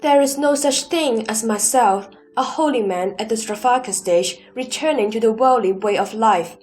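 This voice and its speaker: A woman playing an earnest, questioning holy disciple in a scripted sutra audio drama, speaking formally and in measured phrases. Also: on the high side, darkish tone, natural voice. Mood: earnest, challenging. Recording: background music under the voice.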